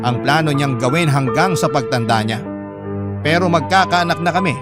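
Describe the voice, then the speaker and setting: A man's voice: fairly high pitch, natural voice. A man reading scripted drama story narration. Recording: loud music bed under voice. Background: loud music.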